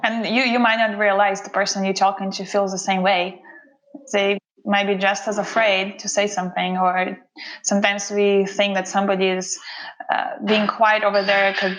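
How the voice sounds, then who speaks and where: A woman's voice: mid-range voice, fairly bright tone, rough recording. A woman from Russia with a Russian accent, a guest talking reflectively about her career in an interview. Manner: reflective, unhurried, with fillers and restarts. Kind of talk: conversational interview.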